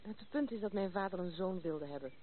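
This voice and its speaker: A woman's voice: lowish voice, a little fast, close to an everyday voice. An actress playing a proud, blunt, tomboyish young noblewoman in a Dutch radio play, frank and self-assured. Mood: candid, reminiscing. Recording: tinny, band-limited, noisy old radio recording.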